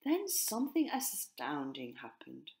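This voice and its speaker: A woman narrating a children's storybook expressively, in measured phrases that sometimes drop to hushed tones. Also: extremely low pitch, wildly swooping pitch, creaky. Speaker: author narrating her own story.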